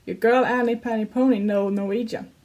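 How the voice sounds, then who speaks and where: A woman's voice: fairly high pitch, steady tone, a little fast, darkish tone. A young woman with a Swedish accent chatting casually in a reaction video, giggly, with slightly broken English grammar. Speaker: YouTuber reacting to songs.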